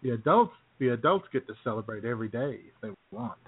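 A man's voice: medium pitch, expressive, quick pace, breathy edge, phone-quality sound. A man co-hosting a comedy radio show with casual, joking chat. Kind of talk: casual comic banter.

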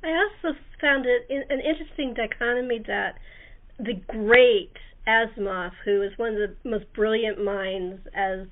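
A woman hosting an interview in a casual, chatty, gushing way, sharing her own anecdotes. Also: fairly high pitch. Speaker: interview host. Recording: band-limited phone-line sound.

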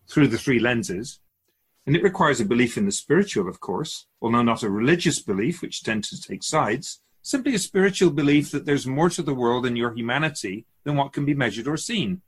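A man playing a serene immortal keeper of souls in a radio drama, speaking in a measured, formal, professorial way. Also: medium pitch, husky. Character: male-voiced immortal spirit keeper of souls, serene and instructive.

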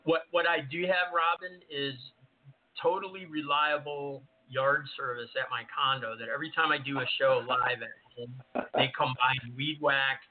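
A man's voice: mid-range voice, fairly even intonation, moderate pace. Man hosting over a tinny line. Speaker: podcast co-host. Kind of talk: casual live show hosting. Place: home office with yard work outside. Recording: tinny call-in audio with faint hiss.

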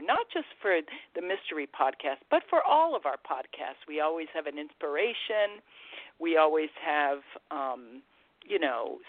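A woman from Massachusetts chatting casually as a podcast guest, enthusiastic but halting as she finds her words. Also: deep voice, no echo. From Massachusetts, USA. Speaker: podcast guest and production helper. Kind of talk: casual interview chat. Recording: dry, thin phone line.

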